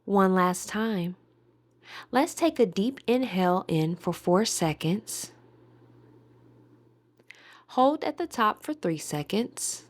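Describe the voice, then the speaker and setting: A woman guiding a meditation in a soothing, deliberate manner with gentle pauses. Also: mid-range voice, expressive. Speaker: meditation guide. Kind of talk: guided meditation narration.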